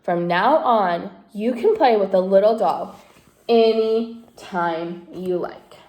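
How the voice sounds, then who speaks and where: A kind old grandmother comforts a child in a soft, soothing voice, as a woman acts her out. She speaks slowly and gently. The recording has a roomy echo. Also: medium pitch, highs cut off, natural voice. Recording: echoey room.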